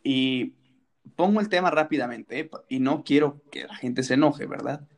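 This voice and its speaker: A man's voice: medium pitch, normal speed. A man co-hosting a casual wrestling chat, playful and teasing, fond of rhetorical questions. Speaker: wrestling podcast co-host.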